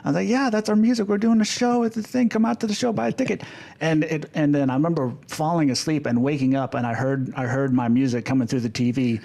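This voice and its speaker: A man's voice: a little high, fairly even intonation, quick pace, creaky, mellow. A man chatting casually as a podcast guest, appreciative and informal in how he talks. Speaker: podcast guest, film composer. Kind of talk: casual chat.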